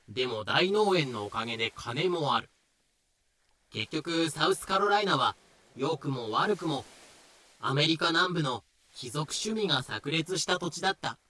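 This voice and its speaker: Man as bright-voiced, pedantic drama narrator. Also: fairly high pitch, full character voice. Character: dry, pedantic explainer of history, terse. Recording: music behind the voice.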